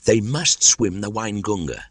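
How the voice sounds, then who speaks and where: A man's voice: lowish voice, expressive, measured pace, dry recording, voice pushed up. A man voicing a proud young man raised by wolves in a storybook, earnest and declamatory in archaic phrases. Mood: proud, urgent.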